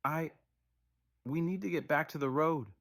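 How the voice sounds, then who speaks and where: A man's voice: a little high, unhurried, fairly bright tone, no room sound, studio quality, pitched above the performer's own voice. A man voicing an anxious woman bus passenger with an urgent, insistent manner in a horror story. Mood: uneasy.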